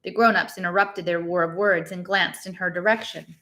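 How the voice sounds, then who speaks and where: A woman reading a novel aloud in expressive storybook narration. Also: lowish voice, fairly quick.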